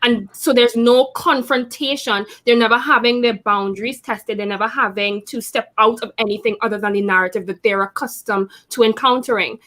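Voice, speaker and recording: A woman with a slightly high voice speaks in a casual group discussion. She argues her point steadily and directly in long, connected runs. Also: fairly even intonation, fairly quick, few pauses, sharp tone.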